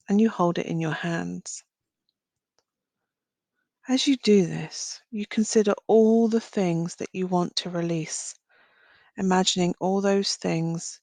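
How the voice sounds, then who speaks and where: A woman leading a guided visualisation as a meditation guide, speaking gently in short phrases with long pauses. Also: on the low side, vocal fry, no room sound. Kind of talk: guided visualisation, slow soothing narration.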